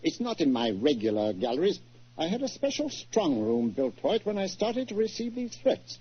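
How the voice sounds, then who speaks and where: An actor playing a worried, blustering titled English art collector with a British accent and gruff, hemming speech, in 1940s radio style. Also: male voice, fairly high pitch, huge pitch swings, normal speed, full character voice. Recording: muffled old 1940s radio recording.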